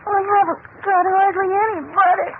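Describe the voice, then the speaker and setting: Woman as very high-voiced earnest child, old radio. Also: flat delivery, moderate pace. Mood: plaintive. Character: earnest, worried young child from a poor home. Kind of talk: 1940s radio acting. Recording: tinny noisy old radio recording.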